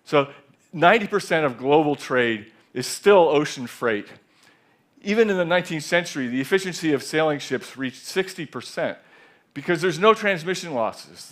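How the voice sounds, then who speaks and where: A man's voice: fairly high pitch, animated, fairly bright tone. Man in his sixties giving a stage talk. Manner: measured, reflective storytelling with some restarts. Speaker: speaker. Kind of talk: conference talk. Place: large theater stage. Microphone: headset mic.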